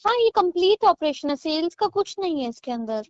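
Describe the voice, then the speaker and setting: A woman with a high voice explains things as a counsellor would. She keeps checking understanding. The sound is a dry, dull recorded call. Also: very animated, fairly quick, no room sound. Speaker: career programme counsellor. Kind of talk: phone sales explanation.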